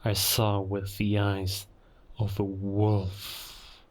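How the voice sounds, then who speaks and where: Man playing a slow, low-voiced, menacing werewolf villain. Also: monotone. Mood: wistful, proud. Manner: slow, deliberate, pausing, quietly menacing. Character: cold, menacing male werewolf villain, a murderous spy. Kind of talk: cinematic audio roleplay monologue.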